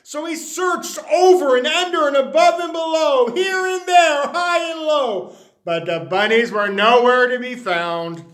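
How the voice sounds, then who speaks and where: A man reading a children's picture book aloud, expressive and dropping to a hush on the refrains. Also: very high-pitched, measured pace. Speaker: storyteller.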